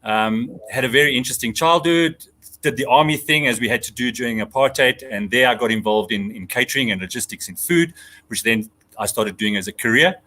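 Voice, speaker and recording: South african guy, middle aged, bright punchy voice, chatty candid storytelling as a guest. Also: medium pitch, fairly quick, poor audio. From Johannesburg, South Africa. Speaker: safari guide, interview guest. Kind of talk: conversational life storytelling.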